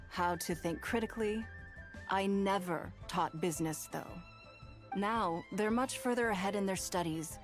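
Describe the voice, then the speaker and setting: A woman voicing a shrewd, poised noblewoman in an anime game, composed and formal, firm but kindly. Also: lowish voice. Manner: composed, formal, measured authority. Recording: music behind the voice.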